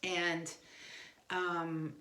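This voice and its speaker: Female homeschool parent, lower-pitched, casual conversational teaching style, recorded in a reverberant room. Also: little pitch movement, extremely slow, sharp tone. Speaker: homeschooling parent giving advice. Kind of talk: casual instructional talk. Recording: echoey room.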